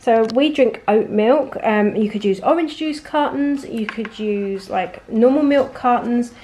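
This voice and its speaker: A woman in her forties with an English accent, hoarse from a cold, chatting upbeat through a home recipe tutorial. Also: fairly high pitch, measured pace, mellow, slight background noise. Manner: chatty and upbeat, rambling asides. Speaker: home cooking vlogger.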